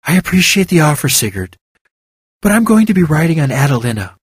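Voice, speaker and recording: A narrator voicing a weakened but kind young woman healer who speaks gently and reasonably. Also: medium pitch, sing-song, brisk, slightly rough, no room sound, clean studio sound, voice pushed up. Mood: gentle.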